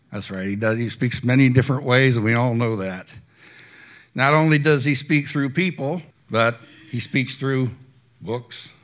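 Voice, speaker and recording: Bright-voiced man preaching a sermon. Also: medium pitch. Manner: asks the audience questions, admits he has a loud voice. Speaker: church teacher. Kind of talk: sermon with dramatic reading. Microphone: handheld microphone.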